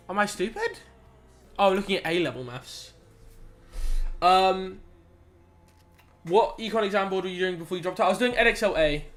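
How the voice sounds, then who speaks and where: A man's voice: high-pitched, bright, forceful tone. A young man in casual live-stream chat, laid back and chatty, reading out chat and muttering as he works through maths questions. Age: young adult. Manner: laid-back, chatty slang, reads chat aloud and mutters while working.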